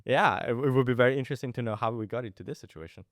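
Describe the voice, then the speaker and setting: A man's voice: medium pitch, rapid-fire, fairly bright tone, no room sound, studio quality. A young man from Italy hosting a podcast, talking casually and enthusiastically, rambling through restarts and fillers. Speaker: podcast host. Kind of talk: casual interview chat.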